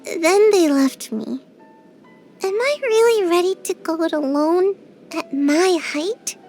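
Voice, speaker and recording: A woman's voice: extremely high pitch, lively intonation, slow pace, a little mellow, soft background music, heavily acted. A woman voicing a tiny, sleepy young ninja girl in a game, drowsy and a little whiny, shy but grumpy. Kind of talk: game character voice lines.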